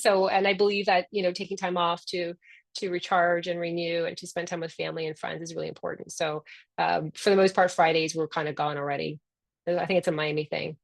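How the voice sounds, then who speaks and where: A woman's voice: fairly low pitch, steady tone, fairly quick, vocal fry, no echo. A middle-aged woman whose first language is Spanish, the founder hosting a live online orientation in an upbeat, affectionate, chatty way.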